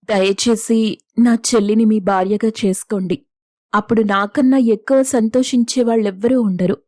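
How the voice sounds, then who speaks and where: A woman's voice: a little high, fairly even intonation, moderate pace, mellow, no echo, clean studio sound, lightly acted. A woman playing a humble, self-sacrificing young village elder sister in a moral story, earnest and pleading. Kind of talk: Telugu moral story dialogue.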